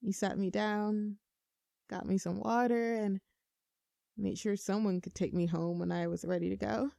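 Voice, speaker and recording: A woman's voice: medium pitch, warm tone, dry recording, studio quality, close to an everyday voice. A woman acting a nervous, playful young woman confessing love to her best friend in an intimate, conversational audio roleplay, teasing and earnest. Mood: tender.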